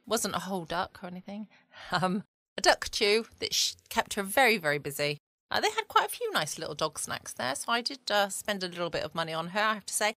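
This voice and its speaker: Bright-voiced southern English woman, chatty host. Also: mid-range voice, dry recording, studio quality. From England. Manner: chatty, rambling, playful. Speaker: podcast host. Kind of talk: casual bedtime podcast chat. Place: small home studio.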